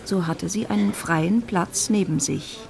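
A woman giving measured, composed literary narration in a radio play. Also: medium pitch, gravelly, darkish tone.

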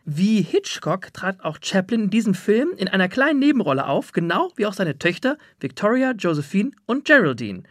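A man's voice: sits high, very animated, normal speed, hardly any pauses, fairly bright tone, no room sound, clean studio sound. A man hosting an interview with prepared moderation. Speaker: interviewer and host.

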